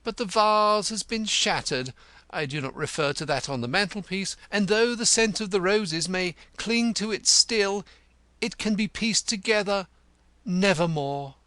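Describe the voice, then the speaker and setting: A man's voice: high voice, a little slow, pushed and bright, no room sound, pitched above the performer's own voice. A man voicing a pompous, self-important male poet in an audiobook, speaking in a florid, easily offended way. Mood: aggrieved, lofty. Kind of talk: audiobook character voice.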